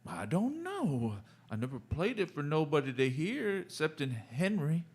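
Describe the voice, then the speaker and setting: High-voiced simple drifter, played by a man. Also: sing-song, slightly rough, exaggerated voice. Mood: meek, friendly. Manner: halting, folksy, guileless. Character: simple, meek, good-natured male drifter in old West. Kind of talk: Western radio drama acting.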